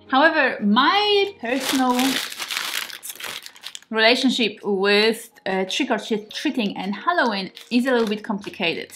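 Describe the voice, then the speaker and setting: A Polish-born woman in her thirties, a native Polish speaker, chatting to the camera at home. She talks in a relaxed, reflective way and laughs easily. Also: medium pitch. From Poland. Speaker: vlogger. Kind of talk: casual talk to camera. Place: home kitchen and living room, indoors.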